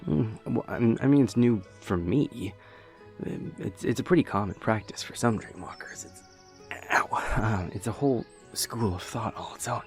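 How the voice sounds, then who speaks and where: A man's voice: lowish voice, normal speed, faint music behind, close to an everyday voice. A young man playing a dorky, earnest boyfriend in an intimate audio roleplay, rambling hesitantly and nerdily with playful self-deprecation. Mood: thoughtful explaining. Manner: hesitant, rambling, nerdy explaining.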